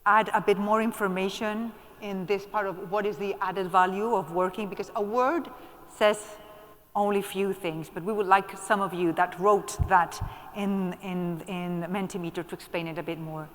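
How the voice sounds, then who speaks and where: A woman moderates a conference panel in a mid-pitched voice. She keeps coaxing the room and encouraging people in the audience to speak. Her voice rings with the long reverb of a large hall. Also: steady tone, normal speed.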